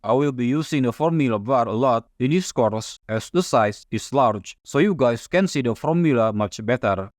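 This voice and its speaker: A man whose first language is Indonesian, calmly narrating a step-by-step software tutorial over a screen recording. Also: medium pitch, normal speed, few pauses, no room sound, clean studio sound. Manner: calm, methodical step-by-step explaining.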